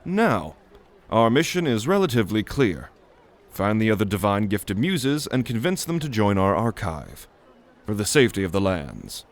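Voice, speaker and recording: A man's voice: on the low side, big pitch swings, moderate pace. A man voicing a weary magic teacher in an audio drama, lecturing with a dry, wry manner. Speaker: narrator and teacher figure. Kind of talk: ASMR audio drama roleplay.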